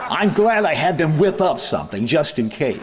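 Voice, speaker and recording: An actor playing a vain, stingy middle-aged comedian in 1950s radio comedy style, with drawn-out wounded exclamations. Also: male voice, fairly high pitch, very animated, heavy background noise. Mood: exasperated, touched.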